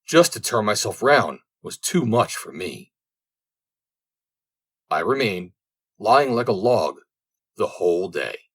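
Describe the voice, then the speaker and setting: A man's voice: lowish voice, very animated, takes it slowly, long pauses, slightly rough, full character voice. A man voicing an idle storybook servant who declaims with self-satisfied smugness about his laziness. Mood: smug, languid. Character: idle servant, smugly boasting of extreme laziness.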